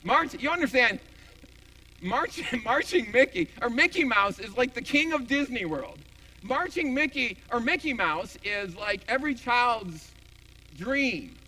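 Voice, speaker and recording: A man in his forties preaches from the pulpit in an easy, joking way, poking fun at himself as he goes. His voice sits high and rings bright and forceful, and his talk is full of stops and restarts. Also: a little slow, low-quality recording. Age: 40s. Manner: animated, joking, self-deprecating storyteller with many restarts. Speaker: senior pastor. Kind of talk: conversational sermon with personal stories and jokes. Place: church sanctuary.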